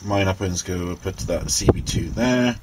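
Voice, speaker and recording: A man giving an informal hands-on technical walkthrough, unscripted and halting as he thinks aloud. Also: low voice. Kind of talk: informal hands-on show-and-tell narration. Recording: clearly noisy handheld amateur recording.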